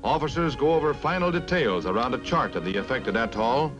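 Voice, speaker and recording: A man's voice: medium pitch, expressive, brisk, lo-fi sound, over music. A man with an American accent reading scripted newsreel narration in a dramatic announcer style, on a vintage 1950s soundtrack.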